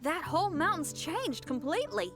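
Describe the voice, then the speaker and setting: Upbeat young game companion, highish voice that moves around a lot, easygoing. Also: female voice, sing-song, moderate pace, music in the background. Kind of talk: scripted video game dialogue.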